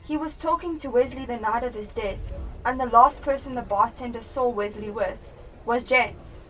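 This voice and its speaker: A young woman playing a sharp, determined police detective in a radio drama. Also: fairly high pitch, normal speed, distant mic, slight background noise, rough recording. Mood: focused, deducing. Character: sharp, determined female police detective piecing clues together. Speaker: student actor in a school radio play.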